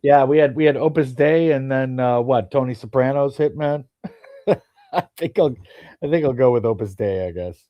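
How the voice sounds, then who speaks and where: A man's voice: mid-range voice, moderate pace, no room sound, lo-fi sound. A man with a slight lisp, chatting hesitantly about football and laughing often. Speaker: podcast co-host. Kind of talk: casual football chat.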